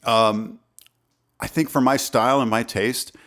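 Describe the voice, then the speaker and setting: A man with a deep voice talking casually to camera about songwriting, rambling with fillers and restarts, close on a studio condenser mic. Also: fairly even intonation, measured pace, a bit bright. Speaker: songwriter vlogger.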